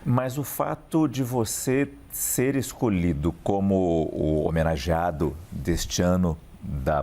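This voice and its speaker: A man's voice: deep voice, darkish tone. A Brazilian man, a news anchor, interviewing a guest in a friendly, polished manner. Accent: Brazilian Portuguese.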